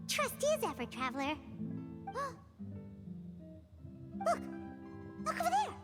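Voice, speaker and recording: A tiny, nosy fairy sidekick from a video game. The squeaky, childlike voice sits extremely high and bounces around with excitable energy, over clear background music. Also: female voice, slow, exaggerated voice. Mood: excited. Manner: excitable, speaks of herself in third person. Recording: loud game music behind.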